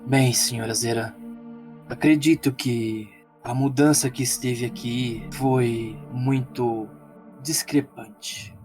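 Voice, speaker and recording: A man playing a calm, sage-like adventurer in a fantasy tabletop game, speaking in a measured, formal way. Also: medium pitch, music in the background, close to an everyday voice. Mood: grave, measured.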